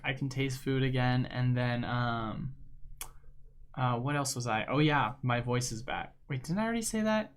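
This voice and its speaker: A young man hosting a livestream, chatting casually and rambling with lots of fillers and slang while he plays a game. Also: mid-range voice. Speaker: livestream host. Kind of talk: casual chat while gaming.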